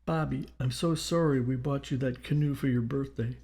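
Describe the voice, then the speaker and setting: A man's voice: medium pitch, steady tone, moderate pace, mellow, natural voice. A man voicing a grieving mother in an audiobook, tender and pleading as she speaks to her dead son.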